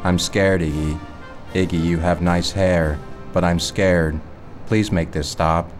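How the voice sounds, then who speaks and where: A man voicing a timid computer that speaks slowly and pleads in fear. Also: low voice, fairly even intonation, faint music behind, voice pushed down. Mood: frightened pleading.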